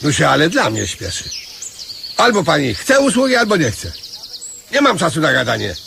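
An actor playing a naive, touchy beggar who blusters in folksy, ungrammatical speech in a radio comedy drama. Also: male voice, on the high side, huge pitch swings, normal speed, creaky, background noise, exaggerated voice. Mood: blustering, touchy. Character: naive male beggar, touchy, simple, self-important praying-for-hire huckster.

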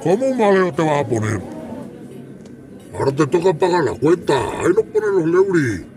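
A man's voice: on the high side, huge pitch swings, moderate pace, music in the background. A man giving joking, teasing commentary while someone eats. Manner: joking, ribbing the eater.

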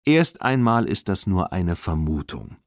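A sensible, caring mother in a storybook, read by a man. The manner is measured and practical, with expressive rises and falls in a dry recording. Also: deep voice, no room sound, exaggerated voice. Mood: firm, practical.

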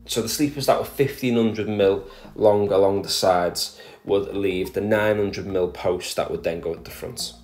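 A man narrating a voice-over about his garden project, explaining his steps in a plain, methodical way with speech and small restarts. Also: on the low side. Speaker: garden DIY vlogger.

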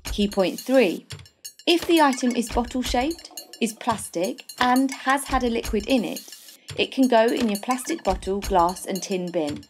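A woman's voice: on the high side, lively intonation, measured pace, a little hiss or hum. A young woman playing a sardonic narrator of a comic fairytale, wry and knowing in her scripted narration. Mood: instructive. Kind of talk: scripted storybook-style narration. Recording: music bed under voice-over.

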